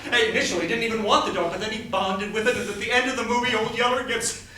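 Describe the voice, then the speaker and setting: Very roomy distant stage recording, male actor as agitated nostalgic middle-aged man, high voice, theatrical monologue. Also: steady tone, very fast, bright, forceful tone, slight background noise. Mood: anguished, exasperated. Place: theatre stage before an audience.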